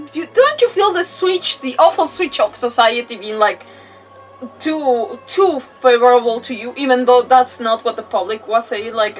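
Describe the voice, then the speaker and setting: A woman streaming with casual game commentary, expressive and rambling as she thinks aloud. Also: high voice, distant mic. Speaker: live streamer.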